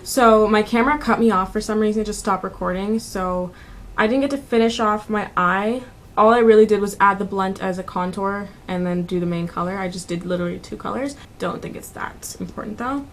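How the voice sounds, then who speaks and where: A woman in her twenties talks through a makeup routine in a chatty, informal manner. Her voice sits at a medium pitch, and music plays along behind her. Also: a little fast. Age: 20s. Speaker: beauty vlogger. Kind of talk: casual makeup tutorial narration. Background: background music.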